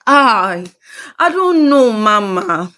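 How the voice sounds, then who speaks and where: Casting: a woman as a desperate young wife in a narrated folktale, slow, trembling and pleading. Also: medium pitch, big pitch swings, pushed and bright, exaggerated voice. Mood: lost, dazed. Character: distraught young wife, desperate, fearful, confessing to her mother.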